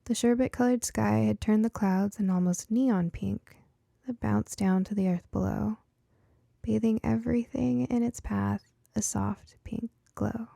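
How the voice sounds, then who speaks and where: A woman's voice: medium pitch, fairly even intonation, unhurried, vocal fry, mellow, no echo, clean studio sound. A woman reading scripted first-person story narration for an audiobook.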